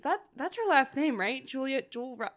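Chill jokey woman cohost, kinda high voice, laughs a lot. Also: animated, slight background noise. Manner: laid-back, quick with jokes, laughing often. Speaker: podcast co-host. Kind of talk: casual film-review chat.

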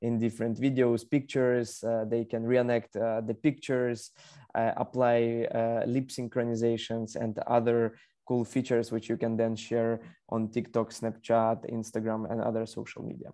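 A man's voice: mid-range voice, completely flat delivery, moderate pace, mellow. A young man from Ukraine with a Ukrainian accent, answering as an executive guest in a conversational podcast interview. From Kyiv, Ukraine.

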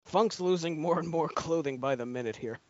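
A man's voice: fairly high pitch, a little fast, darkish tone, no echo, highs cut off. A man co-hosting a casual watch-along, cracking jokes and laughing often. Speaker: podcast co-host.

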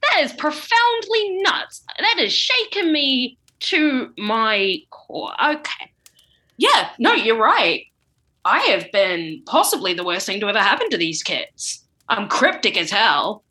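A woman's voice: on the high side, sing-song, slow, pushed and bright, slightly rough, exaggerated voice. A woman playing a chatty, oblivious old wizard headmaster in an improvised comedy sketch, stammering and slangy. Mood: shaken.

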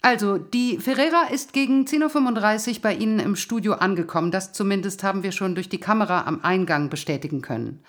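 A woman's voice: mid-range voice, fairly quick, few pauses, close to an everyday voice. An actress playing a stern female police detective in an audio drama, confrontational, sarcastic and indignant as she interrogates. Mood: formal, probing.

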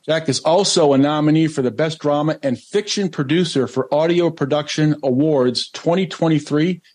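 A man's voice: fairly high pitch, moderate pace, a little mellow. A man hosting an interview podcast in a casual, conversational style.

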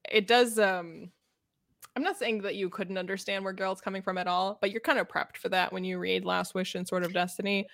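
A woman's voice: mid-range voice, a little fast, gravelly, no room sound. Woman chatting casually, slangy, dry close sound. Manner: slangy, animated retelling with acted-out dialogue. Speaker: podcast co-host discussing books. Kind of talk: casual book chat.

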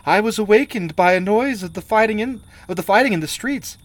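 Tender pleading young woman, read by a man, soft and higher-pitched. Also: brisk, no echo, natural voice. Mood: gentle.

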